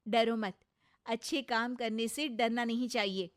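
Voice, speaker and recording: A woman's voice: fairly high pitch, fairly even intonation, measured pace, close to an everyday voice. A woman voicing a clever young daughter-in-law in a cartoon moral story, assertive, righteous and slyly pointed.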